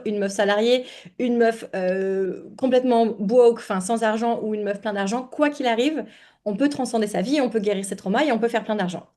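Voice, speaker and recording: A woman's voice: mid-range voice, mellow. A woman hosting a podcast in a casual, informal interview style, slangy and mixing in English words. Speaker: podcast host and money coach.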